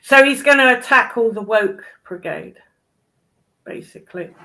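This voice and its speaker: Slow, bright British woman, blunt. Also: a little high, lively intonation. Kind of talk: casual chat, brief reactions.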